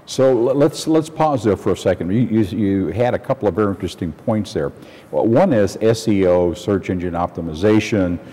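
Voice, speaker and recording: A man hosting a business interview, husky voice, stammering a little as he sets up his questions. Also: on the low side, moderate pace, warm tone. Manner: stammering restarts, leading questions. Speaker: interview host. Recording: reverberant room.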